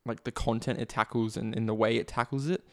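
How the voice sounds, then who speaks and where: A man co-hosting a podcast, speaking haltingly about films with repeated starts. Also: mid-range voice, steady tone. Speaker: podcast co-host. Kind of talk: casual film review chat.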